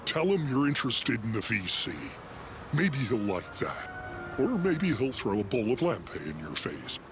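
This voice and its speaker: Wry alien villager, animated pitch. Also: male voice, unhurried, music in the background. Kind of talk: video game cutscene acting.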